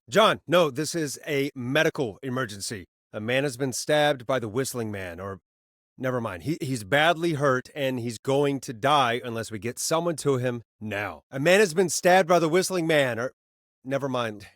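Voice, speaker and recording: A man playing a steady, weary radio host who guides callers through an emergency in a video game, speaking in an earnest, conversational, slightly hesitant way. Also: mid-range voice, normal speed, no echo, studio quality, close to an everyday voice. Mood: urgent, tense. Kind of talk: naturalistic video game voice acting.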